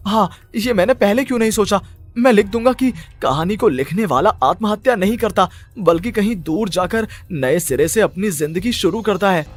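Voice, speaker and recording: A frightened, desperate young man, acted by a woman in a dramatised horror tale. He speaks in an agitated, halting way, repeating words. Soft music runs underneath. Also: mid-range voice, animated, close to an everyday voice. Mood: anxious. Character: distraught young male story writer, frightened and desperate. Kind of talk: dramatised horror story acting.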